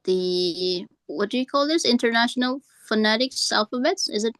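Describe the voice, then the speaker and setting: A woman's voice: on the high side, expressive, moderate pace, fairly bright tone, no echo. An Indonesian woman hosting an online class, switching between English and Indonesian with some hesitation. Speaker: class host.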